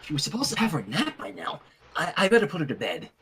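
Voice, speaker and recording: A man's voice: on the high side, huge pitch swings, quick pace, sharp tone, a little hiss or hum, exaggerated voice. A man voicing an earnest, exasperated young woman babysitter who sounds flustered and huffy in a story reading. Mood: hushed.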